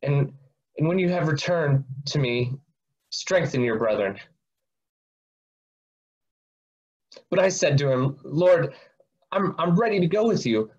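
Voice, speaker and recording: A man playing a remorseful, impulsive disciple in an amateur dramatic reading, speaking in a confessional, sometimes halting way. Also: mid-range voice, natural voice. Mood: earnest. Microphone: video call. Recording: muffled video-call audio.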